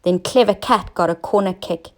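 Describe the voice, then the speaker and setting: A woman teacher narrating a scripted children's story, expressive and clearly enunciated for young listeners. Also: fairly low pitch, moderate pace. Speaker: teacher reading stories to children.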